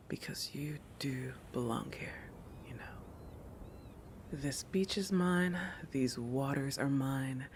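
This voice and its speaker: A woman playing a playful, possessive, territorial shark girl in an intimate roleplay audio, teasing and self-assured, with breathy asides that drop into whispers. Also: rumbling low, a little hiss or hum, heavily acted. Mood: soft, possessive.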